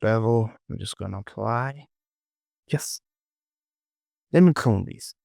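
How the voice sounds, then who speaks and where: A man with a deep voice talks slowly through a casual tutorial. He mutters to himself and repeats short phrases, with plenty of creak in his voice. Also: darkish tone, no room sound, clean studio sound. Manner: muttering, repetitive self-talk. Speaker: 3D modeling tutorial host. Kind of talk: casual screen-recorded tutorial, thinking aloud.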